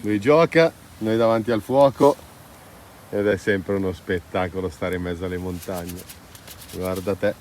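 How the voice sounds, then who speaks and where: Man vlogging, with clear background noise. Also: low-pitched, steady tone, measured pace, a little mellow. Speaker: vlog host. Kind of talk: casual chat to camera. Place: mostly outdoors in mountain valley and woods. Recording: noisy outdoor ambience.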